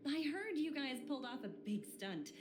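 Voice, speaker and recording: A woman's voice: high-pitched, sing-song, normal speed, noisy background. An actress playing an elegant, worldly businesswoman in a space fantasy video game, with a smug, playful and insinuating manner. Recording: music playing over the voice.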